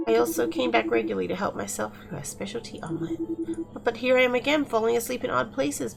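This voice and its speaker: A woman's voice: medium pitch, vocal fry, natural voice, poor audio. A woman voicing an earnest, overworked and grateful eldest sibling in a visual novel. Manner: earnest, confiding. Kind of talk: visual novel character reading. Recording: music playing behind the voice.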